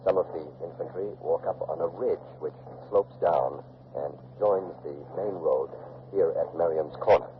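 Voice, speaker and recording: Old noisy radio sound, guy acting as a deep american war reporter, grave and deliberate, live from the battlefield. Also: natural voice. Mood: hushed, watchful. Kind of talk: 1940s radio drama, live news commentary. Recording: muffled, noisy 1940s radio recording.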